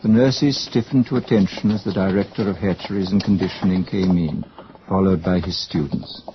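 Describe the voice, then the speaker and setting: A man's voice: low-pitched, steady tone, moderate pace, a little hiss or hum. A man delivering scripted narration as the narrator of a radio drama. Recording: dull, lo-fi old radio recording.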